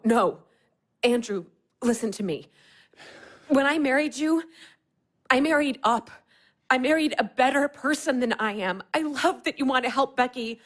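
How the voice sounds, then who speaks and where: A woman playing a sharp-tongued, exasperated young wife in a naturalistic audio drama, blunt and sardonic in manner. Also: sits high. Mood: anxious, pleading.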